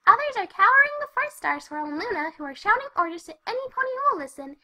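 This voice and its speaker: A woman voicing a perky, excitable young party pony who narrates a fan fiction story in a girlish, bubbly, chatty way. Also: quick pace, exaggerated voice. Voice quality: very high, girlish.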